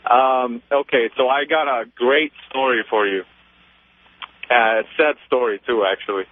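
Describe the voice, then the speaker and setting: Male caller, twenties, mid-pitched, casual halting storytelling, narrow tinny telephone sound. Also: fairly even intonation, slight background noise. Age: 20s. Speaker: radio show caller. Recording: tinny narrow phone line.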